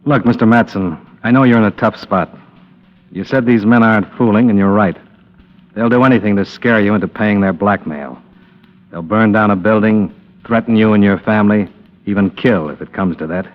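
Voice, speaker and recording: An actor playing a tough, principled American investigator in a vintage radio drama, speaking in a terse, firm, wry manner. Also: male voice, lowish voice, normal speed, natural voice. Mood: firm, persuasive. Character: tough, principled male insurance investigator, hard-boiled and resolute. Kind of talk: 1940s-50s radio drama acting and narration. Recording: dull old radio recording, music behind.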